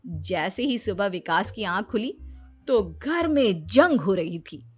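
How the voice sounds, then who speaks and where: A woman's voice: mid-range voice, lively intonation, takes it slowly, husky, faint music behind. A woman narrating a scripted comedy cartoon story.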